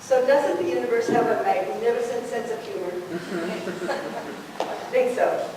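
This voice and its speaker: A woman's voice: on the high side, measured pace, a little hiss or hum. An older woman giving an earnest, reflective spiritual talk to a congregation. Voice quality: fairly loud voice. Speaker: guest speaker. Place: church hall. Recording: echoey hall from far off.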